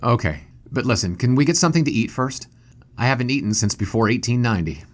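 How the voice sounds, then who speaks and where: A man playing a dry-witted, younger 1920s sportswriter in an audio drama, measured and wry. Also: mid-range voice, expressive, lightly acted. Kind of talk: audio drama acting with first-person narration.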